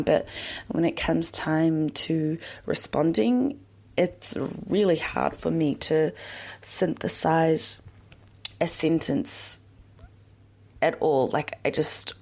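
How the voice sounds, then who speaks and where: A young woman hosting a podcast, talking in a slow, reflective personal monologue with many pauses and fillers. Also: on the low side, a little mellow. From born in Yemen, raised in New Zealand. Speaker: podcast host.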